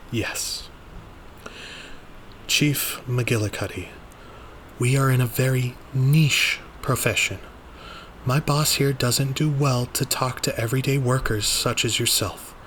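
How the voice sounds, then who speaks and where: A persuasive, brash woman assistant played by a man talking her way out of trouble in an audio drama. The voice is bright and lifted a little, placating in manner, over faint noise. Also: mid-range voice, slow pace, long pauses, voice pushed up. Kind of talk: dramatized audio journal, character dialogue.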